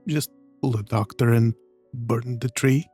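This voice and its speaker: A woman streamer with a husky, creaky voice, making casual, amused asides while playing a game. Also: a bass voice, unhurried, mellow, soft background music. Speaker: gaming streamer. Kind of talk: casual reactions during game playthrough.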